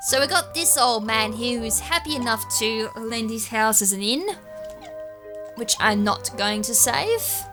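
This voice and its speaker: A woman's voice: fairly high pitch, lively intonation, a little slow. A woman giving casual, rambling video game walkthrough commentary, thinking aloud with an occasional stutter. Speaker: gaming YouTuber, series host. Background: game music.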